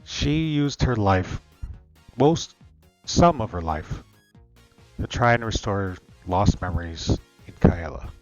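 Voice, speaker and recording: A man playing a troubled, dutiful male dragon and father in an online roleplay, speaking hesitantly in a subdued, halting way. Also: on the low side, expressive, dull audio, soft background music. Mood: earnest, worried.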